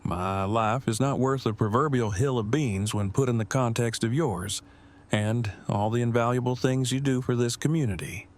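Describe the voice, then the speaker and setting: A man acts out an earnest survivor in an audio drama. He speaks in stilted, formal, long-winded phrases at a medium male pitch. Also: normal speed, natural voice. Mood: upset, earnest.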